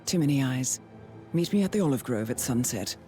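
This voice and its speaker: A woman playing a composed, calculating handler in a cinematic video game, grave and regretful, speaking in a measured, poised way. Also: rumbling low, animated. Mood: cool, poised. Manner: measured, deliberate, poised delivery. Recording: loud music behind the voice.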